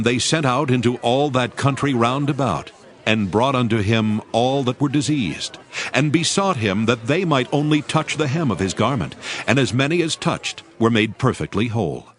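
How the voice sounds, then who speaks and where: Male scripture narrator with lively inflection. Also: medium pitch. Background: music.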